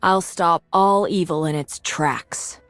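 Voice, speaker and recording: A woman voicing a mature, resolute and idealistic swordswoman in a video game, composed and earnest in her measured delivery. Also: lowish voice, fairly bright tone, no echo, faint music behind. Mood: steady, determined. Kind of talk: scripted video game character lines.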